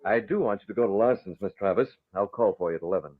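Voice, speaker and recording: Actor as a deep-voiced commanding masked vigilante, old radio. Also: male voice, rapid-fire, close to an everyday voice. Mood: brisk, businesslike. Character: masked vigilante and newspaper publisher, brisk, commanding man. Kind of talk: 1940s radio acting. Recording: muffled phone-like old radio recording.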